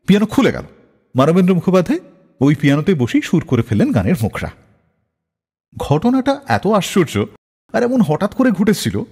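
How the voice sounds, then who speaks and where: A male radio host narrates scripted stories with hugely animated, swooping intonation. His voice sits on the high side and has a slightly husky edge. The delivery is theatrical and expressive, drenched in long reverb.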